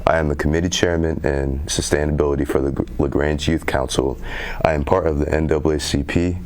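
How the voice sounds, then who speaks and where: Deep-voiced earnest teenage boy. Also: fairly even intonation, a little mellow. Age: teens. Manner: earnest, measured, halting with fillers. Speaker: youth council member. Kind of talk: community TV interview.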